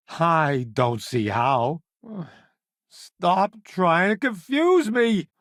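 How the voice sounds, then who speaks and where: Video game space crewmate: showy ex-celebrity food critic, unhurried, very expressive, wry and self-assured. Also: male voice, high voice, dry recording, clean studio sound, full character voice. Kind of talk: video game character voice lines.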